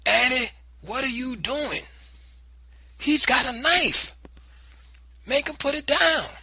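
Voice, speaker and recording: A man doing a shrill, distraught old grandmother. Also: lively intonation, takes it slowly, vocal fry, breathy edge, no echo, exaggerated voice. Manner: shouting, tearful.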